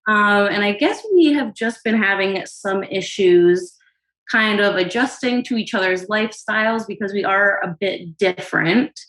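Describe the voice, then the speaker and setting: A woman's voice: medium pitch, a little slow, fairly bright tone, reverberant, low-quality recording. A woman in her twenties calling in to a podcast, explaining her side of a conflict with her boyfriend hesitantly and with many fillers. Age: 20s. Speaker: caller. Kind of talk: casual conversation.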